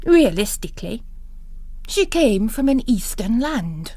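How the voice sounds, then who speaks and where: A woman voicing a mysterious male priest in a dramatised novel reading, courteous, measured and understated. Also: on the high side, very animated, mellow, no room sound, heavily acted. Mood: cool, measured.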